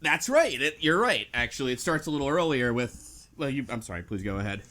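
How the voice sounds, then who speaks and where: A man's voice: medium pitch, animated, a little fast, sharp tone. A man hosting a casual book club podcast, talking in a rambling, self-correcting way. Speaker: podcast host.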